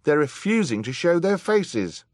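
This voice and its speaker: A man voicing agitated, suspicious hotel guests who speak in loud, accusing outbursts in an audiobook. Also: fairly low pitch, very animated, moderate pace, no echo, clean studio sound, voice pushed up.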